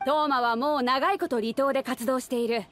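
Bold, swaggering sea captain. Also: female voice, sits high, steady tone, brisk, fairly bright tone, faint music behind. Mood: confident, commanding. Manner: rough, masculine, commanding speech. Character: bold, swaggering woman ship captain, hearty and protective. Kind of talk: anime video game voice acting.

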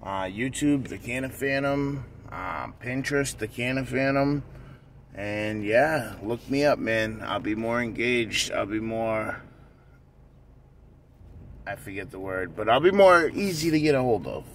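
A young man talking casually and off the cuff to his vlog audience, laid-back and pausing as he goes, on a phone held at arm's length in a car. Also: mid-range voice. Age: young adult. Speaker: YouTube vlogger. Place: inside a car. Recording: distant phone mic, loud car noise.

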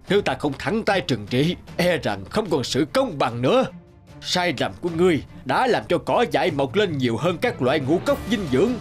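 An actor voicing a stern old emperor of heaven in an animated fairy tale, commanding and scolding in manner. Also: male voice, a little high. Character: stern old Jade Emperor, imperious male ruler of heaven. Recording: music behind the voice.

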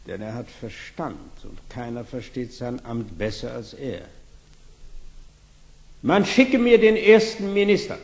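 An actor playing a vain, commanding emperor in a fairy-tale radio play, pompous and imperious. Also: male voice, mid-range voice, expressive, a little slow, a little hiss or hum. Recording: echoey hall-like reverb.

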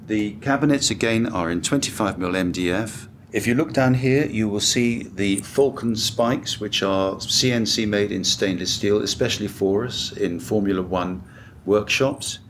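A British man in his sixties giving a measured, matter-of-fact product walkthrough as a company director. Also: lowish voice, slight background noise. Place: hotel room at an audio show.

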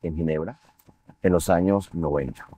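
A man, a guest in a studio TV interview, speaking in a measured, reflective way with frequent fillers. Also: low-pitched, mellow, no echo. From Venezuela. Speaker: interview guest, finance executive. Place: TV studio set. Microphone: lapel mic.

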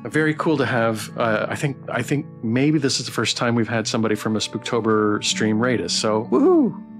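A man streams a game and chats with his audience in a casual, rambling way. His mid-pitched voice is welcoming and full of thanks. Light plucked guitar music plays behind him. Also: very animated, normal speed. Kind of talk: casual livestream chat while playing a visual novel.

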